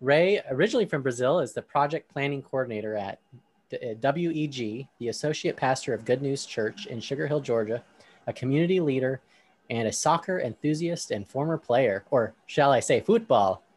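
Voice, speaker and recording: A man hosting a podcast discussion, talking in a relaxed way with frequent ums, then reading a Bible passage aloud. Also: medium pitch, fairly quick, no room sound. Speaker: podcast host.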